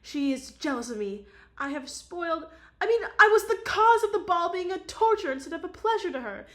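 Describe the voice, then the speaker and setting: A woman reading aloud, voicing an emotional young society woman with a high, excitable voice. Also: brisk, full character voice. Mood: agitated.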